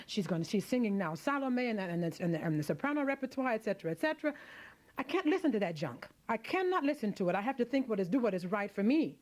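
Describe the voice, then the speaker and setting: A woman's voice: fairly high pitch, sing-song, quick pace, darkish tone. A middle-aged woman opera singer being interviewed on radio, speaking frankly and emphatically, often restarting her sentences as she thinks aloud.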